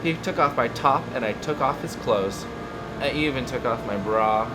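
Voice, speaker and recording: A man narrating a story as a theatrical, deliberate dramatic reading. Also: medium pitch, heavy background noise. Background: loud music bed.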